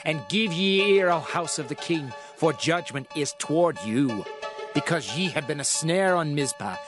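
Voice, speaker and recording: Music plays clearly behind a man with a slightly high-pitched voice. He reads scripture as a dramatic narrator, solemn and declamatory, in the manner of a prophet addressing a nation. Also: expressive, moderate pace.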